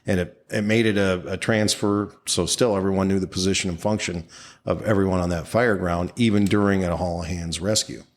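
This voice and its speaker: A man's voice: sits low, fairly even intonation, fairly quick. A man co-hosting a podcast with conversational, instructional commentary, restating his points for emphasis. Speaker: podcast co-host.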